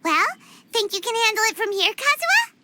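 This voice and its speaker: A woman voicing a tiny, excitable floating girl companion who talks about herself in the third person, in a video game cutscene. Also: extremely high pitch, fairly quick, very noisy. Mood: excited. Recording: game audio with music behind.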